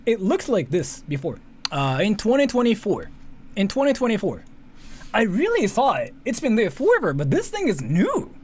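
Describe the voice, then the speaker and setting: A man giving casual, rambling, sweary reaction commentary, often repeating himself. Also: high voice. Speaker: commentary streamer. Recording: faint background noise.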